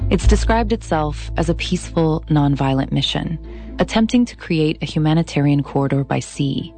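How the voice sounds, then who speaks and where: A woman in her thirties narrates a documentary podcast in a low, steady voice, reading from a script into a studio microphone. Her delivery is measured and deliberate, easing the pace on the words she wants to land, with soft music playing underneath. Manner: measured, deliberate, slows on key phrases.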